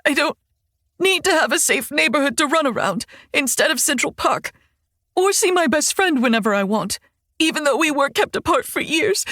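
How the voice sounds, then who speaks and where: A woman voicing a tender, emotional young woman who is crying, in a soft, halting audiobook reading. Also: medium pitch, dry recording, clean studio sound, close to an everyday voice. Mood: tearful. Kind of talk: audiobook character voice.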